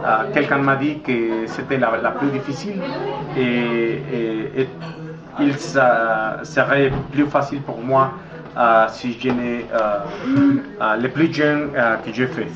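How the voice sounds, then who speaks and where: A middle-aged man from Mexico with a Mexican Spanish accent, speaking French slowly and hesitantly as the guest in a casual interview, with many pauses and fillers. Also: medium pitch, fairly even intonation. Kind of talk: casual interview in a learned language. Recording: dull echoey recording with crowd noise.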